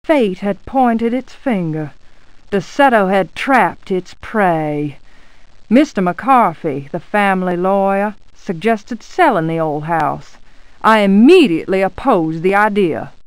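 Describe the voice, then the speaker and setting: An actress playing an anxious but resolute genteel niece, narrating a gothic horror game in a formal, dramatic manner. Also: female voice, mid-range voice, animated, slow pace, clean tone, no room sound, low-quality recording. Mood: determined, fearful. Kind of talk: scripted game monologue narration.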